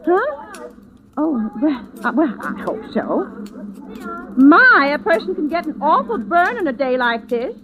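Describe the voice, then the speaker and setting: A woman's voice: high voice, sing-song, measured pace, crowd noise. An actress playing a chatty, nosy middle-aged neighbor lady who fusses, in a 1940s radio drama. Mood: chatty, fussy. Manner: chatty, fussy. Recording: tinny, noisy old radio recording.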